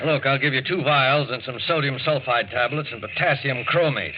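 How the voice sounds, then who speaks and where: A man acts the part of a reassuring, practical doctor in a vintage radio play. His voice is medium in pitch and composed. The old recording is muffled and noisy. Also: moderate pace, close to an everyday voice. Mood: brisk, concerned. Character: steady, reassuring male doctor among shipwreck survivors. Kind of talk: 1940s radio acting.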